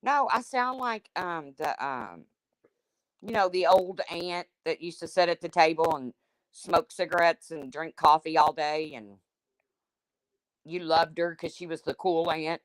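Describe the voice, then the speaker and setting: A woman with a deep, husky smoker's voice hosting a livestream, reading chat comments and answering them in a blunt, chatty way. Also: measured pace, dry recording, poor audio. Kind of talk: casual chat with viewers.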